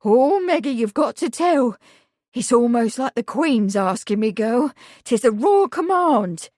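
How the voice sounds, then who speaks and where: A woman's voice: high-pitched, very animated, a little slow, a little mellow, no room sound, clean studio sound, voice pushed up, heavily acted. A woman voicing a middle-aged household servant with rustic country speech, blunt and matter-of-fact. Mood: awed.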